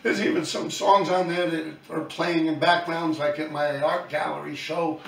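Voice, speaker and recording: A man's voice: a little high, little pitch movement, normal speed, gravelly, echoey room, far from the mic. A man, talking bluntly and profanely to his viewers in a rambling monologue. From New York City. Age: 50s. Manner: blunt, profane, talks in loose run-on asides. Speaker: YouTube channel host, graffiti writer.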